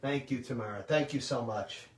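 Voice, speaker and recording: A middle-aged man, hosting a live virtual cooking class and chatting loudly and warmly to his viewers. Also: mid-range voice, moderate pace, roomy sound, distant mic. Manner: loud, chatty, talks straight to viewers.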